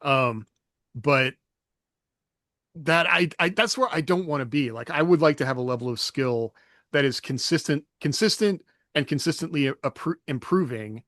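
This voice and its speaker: A man's voice: on the high side, normal speed, a bit bright, no room sound. Reflective male podcast co-host, dry sound. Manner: reflective, hesitant, laughs often. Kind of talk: casual chat.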